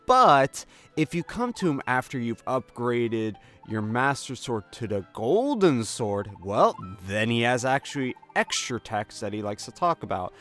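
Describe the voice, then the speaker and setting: A man's voice: medium pitch, very animated, measured pace, hardly any pauses, a bit bright, soft background music. A man hosting a game walkthrough with casual, conversational commentary and joking asides. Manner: jokey, conversational, slips into mock dialogue. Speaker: gaming video host.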